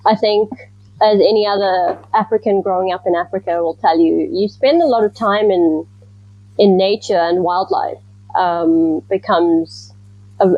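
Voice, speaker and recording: A woman from Zimbabwe, a researcher answering interview questions in a thoughtful, measured way with frequent ums. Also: medium pitch, lo-fi sound. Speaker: guest researcher.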